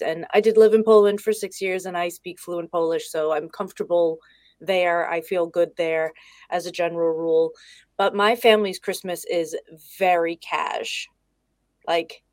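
Casual woman, lower voice, dry sound. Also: darkish tone, no echo. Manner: casual, candid, chatty. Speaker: guest expert. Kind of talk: casual conversation. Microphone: video call.

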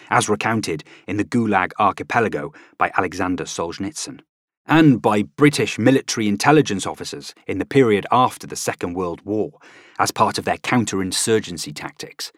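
A man's voice: fairly low pitch, animated, fairly quick, no room sound, clean studio sound. A man reading scripted nonfiction as an audiobook narrator, with a dramatic, emphatic delivery.

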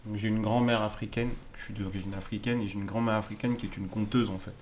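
A man in his thirties, originally from Alsace, answers interview questions as a guest artist. His voice sits on the low side, and he tells his stories in an easygoing way, full of fillers. A faint hiss runs behind him. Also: fast talker. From Alsace, France. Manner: relaxed storytelling, frequent fillers. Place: apartment.

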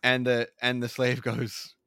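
A man's voice: medium pitch, monotone, a little slow, vocal fry, pushed and bright, smooth, dry recording. Hesitant man, dry close sound. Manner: hesitant, searching for words, repeats himself. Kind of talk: casual discussion.

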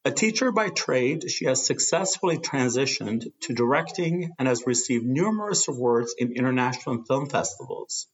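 A male radio host in a measured, scripted read, his voice at a middling pitch for a man. He introduces guests and pledge drives at an even pace. The audio is a little dull and lo-fi, as if the top end has been shaved off. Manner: measured, reads from a script with some fillers.

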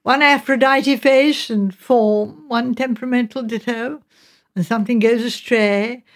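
A woman's voice: on the high side, steady tone, measured pace, close to an everyday voice. A woman voicing a spirited, outspoken young English sister in an audiobook, chatty, emphatic and teasing. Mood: musing, playful.

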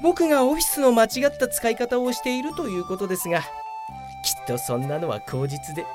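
A woman's voice: a little high, a little fast, music in the background, lightly acted. A woman playing a whiny, self-important young male office worker in a comedic radio drama, full of grumbles and excuses. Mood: grumbling.

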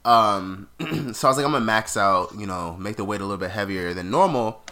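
Lively sick man hosting a podcast. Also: on the low side, brisk. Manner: animated, laughing storyteller. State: sick, slightly nasal. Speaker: podcast co-host. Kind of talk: casual podcast chat.

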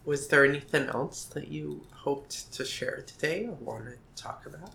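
A male interviewer asks gentle, slightly hesitant questions in an oral history session. His tone is bright and forward, with a gravelly catch at the edges. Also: fairly high pitch, normal speed.

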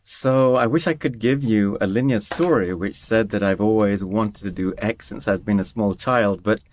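A man's voice: on the low side, normal speed, a little mellow, slight background noise. A man from East Africa, a guest scientist on a podcast, telling his career story in a rambling, self-deprecating way with many fillers. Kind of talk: conversational podcast interview.

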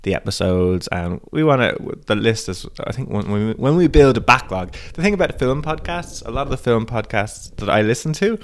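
A man with a cold, co-hosting a casual podcast, hesitant and stumbling over his words, a bit croaky. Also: medium pitch, animated, brisk, hardly any pauses. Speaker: podcast co-host. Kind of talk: casual chat.

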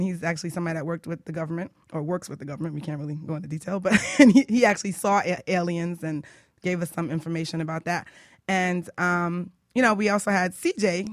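A woman's voice: on the low side, no echo, clean studio sound. A woman hosting a radio show in a casual, chatty, conversational way. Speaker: radio show host and life coach. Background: faint music.

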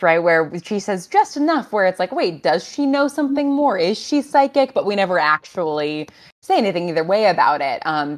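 A woman with a low-pitched voice talks on a creative panel. She is conversational and thoughtful, laying out her reasoning as she goes. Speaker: audio drama writer on a Q&A panel. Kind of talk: casual panel discussion.

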